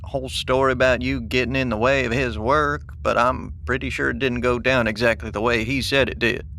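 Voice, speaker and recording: A folksy, cautious survivor in an audio drama. His voice is bright and sharp, his talk colloquial and low-key, with clear ambience around him. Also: fairly even intonation, a little fast, a little hiss or hum. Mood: easygoing, wary. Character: folksy, cautious, rough-spoken male survivor scavenging supplies.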